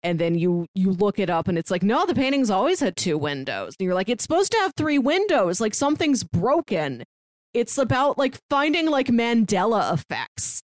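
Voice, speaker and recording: A woman's voice: medium pitch, moderate pace, no room sound. Chatty woman podcast co-host, dry mic. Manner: chatty, animated, lots of "like". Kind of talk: casual podcast chat. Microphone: remote call mic.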